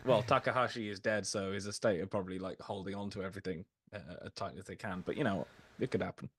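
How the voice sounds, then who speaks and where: A man with a fairly low voice talks in an enthusiastic, conversational way as a podcast panelist. The recording is dry and close, with little room sound. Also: fairly even intonation, fast, breathy edge, dry recording. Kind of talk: casual film discussion.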